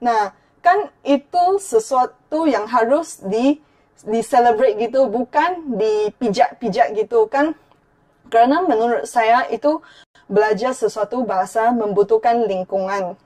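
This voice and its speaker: Young woman, Malaysian accent, slightly high pitch, earnest vlog talk, code-switching, frequent restarts. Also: unhurried, mellow. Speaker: YouTuber. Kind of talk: vlog commentary.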